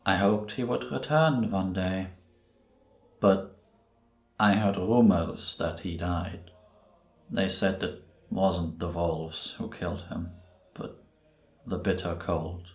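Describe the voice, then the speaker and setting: A man playing a grave, brooding priest who tells a dark tale in a solemn, ominous, deliberate way for a cinematic audio drama. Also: low-pitched, steady tone. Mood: haunted, brooding. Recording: roomy echo on the voice.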